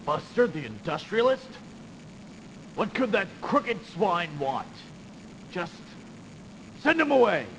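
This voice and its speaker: A man's voice: high-pitched, sing-song, slow pace, pauses often, full character voice. Haughty, hot-tempered young nobleman, swooping delivery. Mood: scornful, irritated. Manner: haughty, indignant, exclamatory. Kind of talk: theatrical video game voice acting. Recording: noisy, reverberant early CD game audio.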